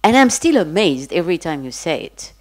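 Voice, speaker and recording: A woman with a fairly low voice speaks from the audience at an academic panel. She works through her point thoughtfully, restarting and circling back with plenty of fillers. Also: sing-song, brisk, a little mellow, poor audio. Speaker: audience member asking a question. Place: conference hall.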